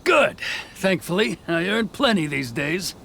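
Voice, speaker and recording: An actor playing a self-satisfied, shady tax collector in a video game, musing smugly to himself. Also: male voice, high voice, big pitch swings, measured pace, creaky, a bit bright, slight background noise, exaggerated voice. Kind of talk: acted video game dialogue. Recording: game music behind the voice.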